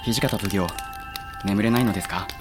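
An actor playing an earnest, deferential young samurai aide, polite and excitable, in a period audio drama. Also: male voice, lowish voice, moderate pace, over music, natural voice. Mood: quiet, earnest.

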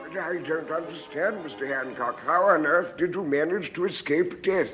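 An actor playing a polite, gullible vicar in a 1950s radio sitcom, formal and effusive in manner. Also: male voice, on the high side, moderate pace, a bit bright, faint music behind, close to an everyday voice. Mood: puzzled. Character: polite, gullible, easily awed English vicar.

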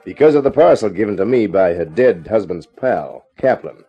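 Low, husky hardboiled private eye. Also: male voice, animated, normal speed, soft background music. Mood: wry narration. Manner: laconic, unflappable. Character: cool, wisecracking hardboiled American private eye. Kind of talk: 1950s radio crime drama, first-person narration and dialogue. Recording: dull old radio recording.

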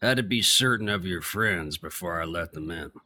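A man playing a gruff, stubborn ex-prizefighter gatekeeper in an audio drama, with rough working-class speech and grammar. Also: sits low, animated, moderate pace, pushed and bright, full character voice. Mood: impressed, hearty.

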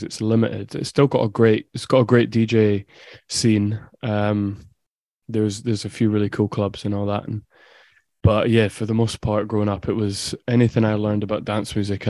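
A young Scottish man with a low, flat voice chats casually as a guest. He talks in a laid-back way and his speech wanders a little. The sound is dry and close. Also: no room sound. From Scotland. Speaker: musician guest. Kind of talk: casual interview chat. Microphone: video call.

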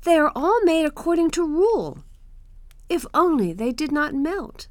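Woman as a high-pitched peevish little storybook boy. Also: very animated, unhurried, no echo. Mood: eager, excited. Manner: emphatic, exclaiming. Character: young boy, peevish, scornful, excitable storybook child.

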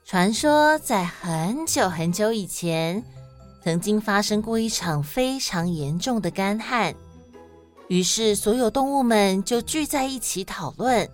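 A woman's voice: medium pitch, lively intonation, unhurried, soft background music. A woman with a Taiwanese accent hosting and narrating stories expressively for children. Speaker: host and storyteller of a children's podcast.

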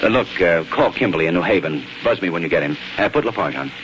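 A man playing a busy, fond but evasive lawyer son in a 1940s radio drama, with a halting, flustered delivery. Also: deep voice, animated. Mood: harried, brisk. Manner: hesitant, halting when flustered. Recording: muffled, noisy 1940s radio recording.

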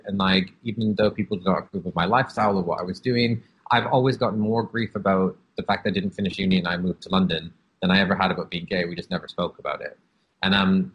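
Deep husky man telling his story. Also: a bit bright, poor audio. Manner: open and reflective, with frequent "um" and "like". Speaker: guest. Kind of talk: candid personal storytelling.

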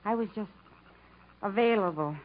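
A woman's voice: mid-range voice, normal speed, close to an everyday voice. An actress playing a wistful, wounded woman who yearns for self-respect, with plaintive, searching phrasing in 1950s radio drama style. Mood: wounded, resigned. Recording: muffled, noisy 1950s radio recording.

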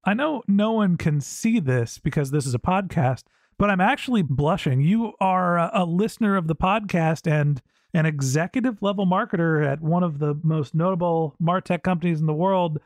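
Podcast host guy, kinda high voice, upbeat, super dry close mic. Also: normal speed, no echo. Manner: upbeat, scripted intro then friendly questions. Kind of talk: podcast interview hosting.

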